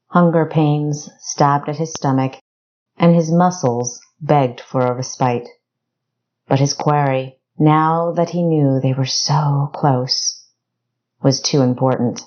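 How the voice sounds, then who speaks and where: A woman's voice: low voice, slow, darkish tone, muffled recording. A woman reading scripted fiction narration for an audiobook. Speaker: author narrating own audiobook.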